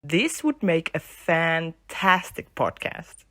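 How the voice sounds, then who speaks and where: A woman's voice: low voice, big pitch swings, takes it slowly, pushed and bright, dry recording, clean studio sound, heavily acted. A woman playing a chatty, playful fangirl in an audio drama, polite and teasing. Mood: friendly, curious. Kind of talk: amateur audio drama acting.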